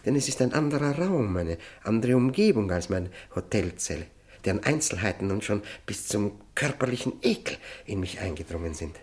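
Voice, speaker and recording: An actor playing a desperate, obsessive male prisoner who tells of solitary confinement in a German radio play, intense and halting, often repeating words. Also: fairly low pitch, big pitch swings, a little mellow. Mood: feverish longing. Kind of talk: German radio play, dramatic first-person narration.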